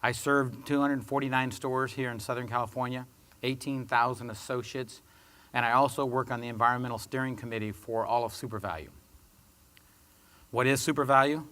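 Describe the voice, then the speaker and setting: A man introducing himself as a panelist, a company sustainability director, giving a steady, businesslike presentation. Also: medium pitch, moderate pace, long pauses.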